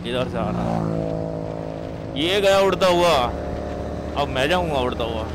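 A young man riding a motorcycle on an expressway, calling out speeds and chatting excitedly to the camera. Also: medium pitch, measured pace. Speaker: motovlogger. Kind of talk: casual riding commentary. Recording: loud wind and engine noise. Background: wind and traffic noise.

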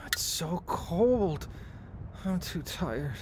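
An actor playing an injured, freezing brother in a radio drama, weak and exhausted. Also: male voice, high-pitched, big pitch swings, drawn-out words, heavy background noise, exaggerated voice. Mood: weak, pained.

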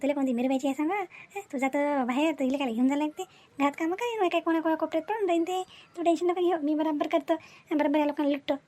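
A woman's voice: high-pitched, fast talker, dark tone, lightly acted. A woman voicing a greedy, scheming village mother in a comic cartoon, blunt and wheedling, with a Varhadi Marathi accent. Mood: greedy, conniving.

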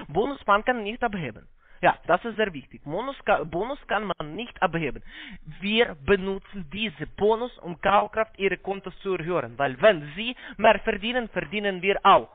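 Man, foreign accent, non-native German, high voice, halting polite telesales pitch, narrow phone-line sound. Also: no room sound. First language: not German. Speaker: call center investment salesman on a scam call. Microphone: phone call.

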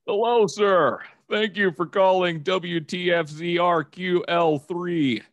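A man acts out a strained, over-polite phone support agent for a comedy sketch. He speaks formally and haltingly, with a dry, close sound. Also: high voice, fairly even intonation, no echo, highs cut off, pitched above the performer's own voice. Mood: stilted politeness. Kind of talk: improvised comedy phone-call skit.

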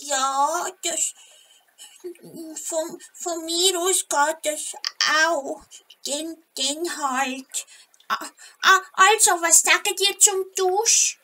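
A tiny, timid flea from a children's audio play. The voice is extremely high and very slow, stumbling and repeating words nervously. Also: female voice, sharp tone, heavily acted. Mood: hesitant, anxious. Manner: stammering, hesitant, repeats words. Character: tiny nervous stuttering flea, small brave hero.

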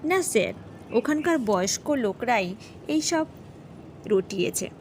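A woman's voice: a little high, expressive, unhurried. A woman plays a junior policeman in an audio horror story. He is respectful and hesitant, reporting to his superior in earnest, with faint noise behind him. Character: deferential, hesitant male junior policeman reporting strange news.

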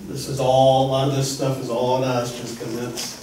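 A man's voice: medium pitch, level tone, normal speed, darkish tone. A man giving an economic development report at a council meeting, casual and rambling. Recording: echoey room from far off with faint noise.

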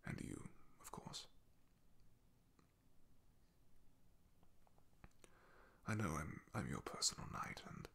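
A man playing a young, humble, devoted knight in an ASMR roleplay, soft-spoken and halting, with a breathy, gravelly half-whisper. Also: close to an everyday voice. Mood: shy, hesitant.

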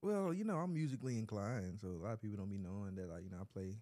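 A young man with a Memphis accent, a musician guest in a casual chat, speaking in a calm, reflective way with pauses. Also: on the low side. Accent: Memphis, Tennessee. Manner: calm and reflective, hesitant with fillers.

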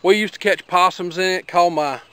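A man's voice: sits high, moderate pace, sharp tone, clear, resonant tone. A middle-aged man with an Appalachian accent, talking in a folksy, plainspoken, rambling way as he demonstrates something outdoors by his garden. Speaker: homesteader vlogger.

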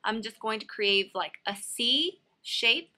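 A woman's voice: on the high side, expressive, slow, pushed and bright. A woman hosting a nail art tutorial in a casual, instructional voice-over.

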